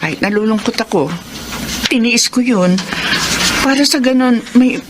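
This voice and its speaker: Isang babaeng aktres na Pilipina na gumaganap bilang ina sa drama sa radyo, taimtim, nag-aalala, nakikiusap at nanenermon. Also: female voice, a little high, moderate pace, background noise, lightly acted. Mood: apologetic, sad. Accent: Filipino. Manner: earnest, pleading, scolding. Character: worried, pleading, strict mother back from working abroad. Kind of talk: Filipino radio drama acting.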